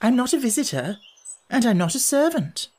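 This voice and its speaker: A man's voice: very high-pitched, sing-song, normal speed, a little mellow, pitched above the performer's own voice, exaggerated voice. A man voicing an impatient, curious young girl in a storybook, speaking in an emphatic, indignant way. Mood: puzzled.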